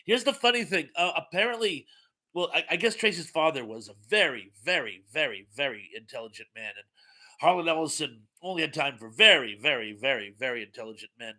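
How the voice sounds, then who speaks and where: Wry bright-voiced man hosting a livestream. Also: on the high side. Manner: wry, rambling, jokey asides. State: losing his voice. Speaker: livestream host reading chat. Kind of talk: casual chat with viewers.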